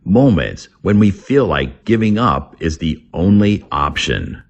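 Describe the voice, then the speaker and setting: A man reading a scripted English lesson aloud as a teacher, deliberately, word by word. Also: deep voice, big pitch swings, lo-fi sound. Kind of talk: scripted lesson narration, slow read-aloud.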